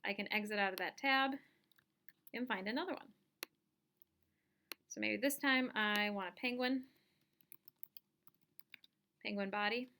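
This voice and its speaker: A woman giving a casual step-by-step software tutorial, thinking aloud as she demonstrates each action. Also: medium pitch, unhurried, long pauses, a little hiss or hum. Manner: informal, instructional, thinking aloud while demonstrating. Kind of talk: casual step-by-step screen-recorded lesson.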